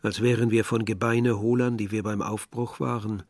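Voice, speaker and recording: An actor playing a bitter, brooding young man who narrates a literary radio play in a measured, reflective way, with a husky, gravelly voice. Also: fairly low pitch, flat delivery, a little mellow, no room sound, clean studio sound. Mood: brooding, resigned.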